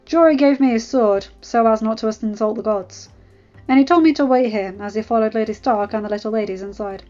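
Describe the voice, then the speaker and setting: A woman's voice: on the high side, fairly quick, warm tone, lightly acted. A woman reader voicing a dutiful male castle guard, reporting plainly and respectfully. The sound is dull and muffled with faint background music.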